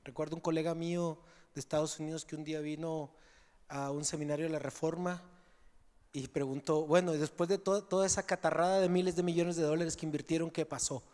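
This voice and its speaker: A man's voice: on the high side, steady tone, fairly quick, a little mellow. Mexican man answering in an echoing hall. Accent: Mexican Spanish. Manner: conversational, tags phrases with "¿sí?" and fillers. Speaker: conference speaker. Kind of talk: conference Q&A answer. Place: large conference hall. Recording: reverberant conference hall.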